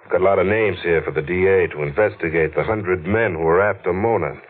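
A man's voice: sits low, quick pace. A man acting a wry, skeptical, streetwise investigator in a 1940s radio mystery, with clipped, slangy tough-guy delivery. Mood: brisk, puzzled. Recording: noisy, narrow-band old radio recording.

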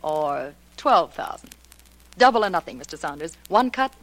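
A woman's voice: low voice, very animated, moderate pace, a bit bright, no echo. An actress playing a cool, bold married woman gambler in a 1940s radio drama, self-assured and challenging. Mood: daring. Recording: noisy 1940s radio recording.

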